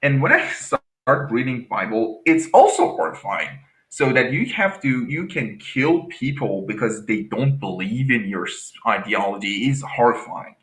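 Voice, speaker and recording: A man whose first language is Farsi talks in halting, searching English, often stumbling and restarting. His voice is bright and forceful. It rings in an echoey room. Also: medium pitch, expressive. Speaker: agnostic guest. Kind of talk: casual debate.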